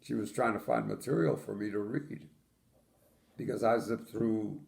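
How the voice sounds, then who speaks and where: A man's voice: fairly low pitch, normal speed, creaky, a little mellow. An older man from Poland, telling his childhood memories in an oral history interview with dry, matter-of-fact humor.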